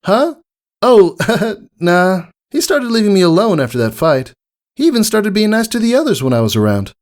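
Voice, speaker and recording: A man's voice: high-pitched, sing-song, normal speed, pitched above the performer's own voice. A man voicing an eager, admiring young filly pegasus in a storybook reading, earnest and a little hesitant. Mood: hesitant, casual.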